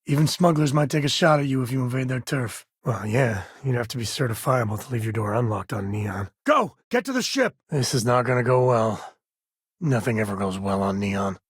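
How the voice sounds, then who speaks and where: A man's voice: medium pitch, animated, fairly quick, slightly rough, no echo, clean studio sound. A man acting a wry, devoted middle-aged space-frontier father in a video game, sardonic but caring, with a folksy manner. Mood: urgent, excited, then grave, reflective. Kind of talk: video game companion dialogue.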